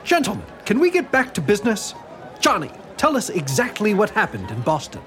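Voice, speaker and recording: A man's voice: sits high, huge pitch swings, a little fast, music in the background. An actor playing a principled statesman who speaks in a formal, proper way.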